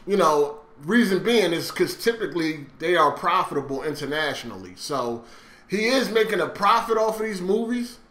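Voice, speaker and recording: A man's voice: a little high, a bit bright. A man giving casual, opinionated gaming commentary in a blunt, sweary way, often checking in with the listener. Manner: blunt, swearing, repeated conversational check-ins. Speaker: gaming video host. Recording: roomy, echoey sound.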